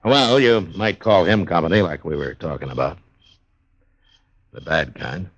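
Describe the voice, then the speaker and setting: A man acting a steady, probing American frontier marshal in an old-time radio western, measured and understated. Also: low-pitched, no room sound, dull audio, exaggerated voice. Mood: carefully prodding. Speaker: lead actor and narrator in a radio western.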